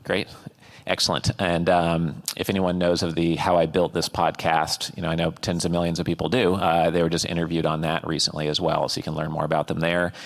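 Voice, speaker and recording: A man with a very low voice hosts a conference session from the stage, praising answers and moving things along. He sounds upbeat and drops plenty of fillers. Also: little pitch movement, a little fast, vocal fry. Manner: upbeat, filler-heavy wrap-up talk. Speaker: host. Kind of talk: conference fireside chat.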